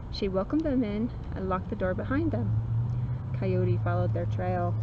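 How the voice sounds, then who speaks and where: A woman reading a children's story aloud expressively, with dramatic emphasis and casual asides. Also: mid-range voice. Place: outdoors in woods. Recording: noisy, dull outdoor recording.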